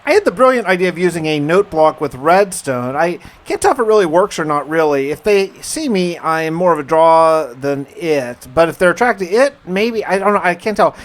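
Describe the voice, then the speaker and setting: A man's voice: fairly high pitch, very animated, brisk, few pauses. A man narrating his survival game in the first person as roleplay, talking in a casual, confiding way.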